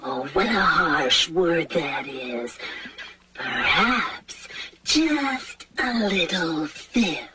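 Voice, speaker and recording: Taunting eel for a preschool puppet show; lowish, drawling very slowly, insincere sweetness, noisy old tape. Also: female voice, animated, full character voice. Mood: sly coaxing. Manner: drawn-out, mock-innocent coaxing. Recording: noisy, grainy old TV footage.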